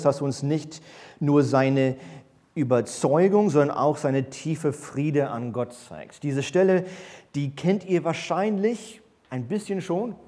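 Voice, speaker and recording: Mid-thirties male church elder preaching, mid-pitched, halting delivery full of ums. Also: darkish tone. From grew up in East Berlin, born in the USA. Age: 30s. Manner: hesitant, many fillers and self-corrections. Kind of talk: sermon with personal introduction. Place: church service.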